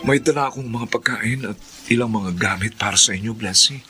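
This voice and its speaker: A man's voice: on the low side, fairly quick, sharp tone, faint music behind. A man playing an earnest, supportive friend in a Filipino radio drama, speaking reassuringly. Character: earnest, supportive male friend, gentle and devout.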